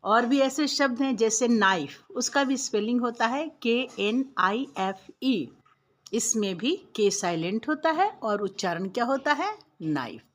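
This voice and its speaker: A woman's voice: fairly high pitch, animated, a little slow. A woman teaching young children a school lesson, patiently explaining and spelling words out letter by letter.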